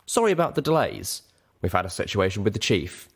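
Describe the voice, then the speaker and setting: Male guard pony, voiced by a storybook narrator, deep, businesslike, commanding, short clipped delivery. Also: big pitch swings, brisk, slightly rough, exaggerated voice. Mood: curt, official. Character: curt official male guard pony escorting a suspect.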